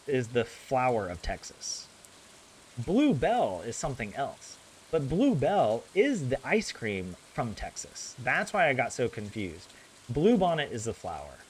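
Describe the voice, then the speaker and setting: A man's voice: medium pitch, wildly swooping pitch, unhurried, a little hiss or hum, poor audio. A young man with a general American accent chatting casually with his viewers while he plays a game, rambling and correcting himself as he goes. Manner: rambling, chatty, self-correcting, laughs often. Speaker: gaming streamer.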